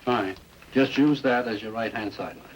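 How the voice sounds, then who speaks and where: A man's voice: mid-range voice, moderate pace, close to an everyday voice. A man playing a practical police crime-scene technician giving workmanlike instructions in a 1950s television drama. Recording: noisy 1950s television soundtrack.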